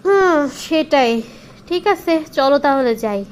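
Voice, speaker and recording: Very high-voiced exasperated Bangladeshi woman played by actress. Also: animated, measured pace, slight background noise. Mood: resigned, dismayed. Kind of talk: cartoon skit dialogue.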